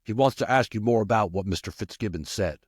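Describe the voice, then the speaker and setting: A man's voice: lowish voice, fast talker, husky, no room sound, studio quality. A man voicing a direct, businesslike rat messenger in a storybook reading. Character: plainspoken male rat messenger on guard duty.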